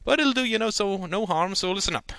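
A man's voice: high voice, lively intonation, brisk, bright, forceful tone, clean tone, no echo, clean studio sound, voice pushed up, heavily acted. A man voicing a folksy older small-town uncle who lectures his nephew in a rambling, down-home way. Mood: lecturing.

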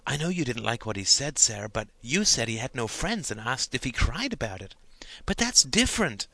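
A man putting on a high-voiced gossipy teenage girl. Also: very animated, normal speed, creaky, pushed and bright, no room sound, full character voice, low-quality recording. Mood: earnest, confiding. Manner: chatty, slangy, girlish delivery. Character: gossipy, giggly teenage girl confiding late at night. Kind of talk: audiobook character dialogue.

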